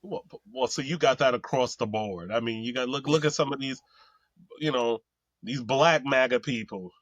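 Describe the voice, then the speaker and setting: Someone chatting casually in a halting way, often repeating words. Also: medium pitch, dry recording, highs cut off. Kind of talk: casual chat.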